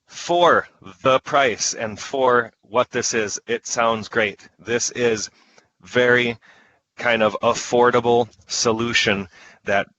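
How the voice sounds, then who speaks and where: A man's voice: medium pitch, steady tone, slow pace, bright, forceful tone, highs cut off. A man giving an informal product presentation online, talking in a conversational, enthusiastic salesman's way as a trainer.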